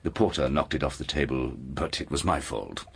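An actor playing a commanding aristocratic uncle, curt and coolly domineering in an audiobook drama. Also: male voice, rumbling low, normal speed, vocal fry, slightly rough, heavily acted. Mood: courteous, controlled. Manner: curt, imperious, clipped commands.

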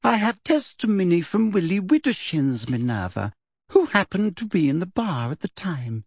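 A man's voice: high-pitched, sing-song, moderate pace, a little mellow, breathy edge, no room sound, voice pushed up, exaggerated voice. A man voicing a simpering, falsely sweet woman official with a cruel streak underneath, as an audiobook character. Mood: smug.

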